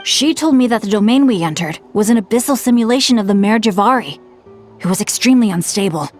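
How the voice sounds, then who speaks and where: Woman voicing an earnest, high-voiced young boy adventurer. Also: natural voice. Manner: sincere, earnest, heartfelt. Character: earnest, optimistic young boy adventurer, grateful and warm-hearted. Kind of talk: scripted anime game voice acting.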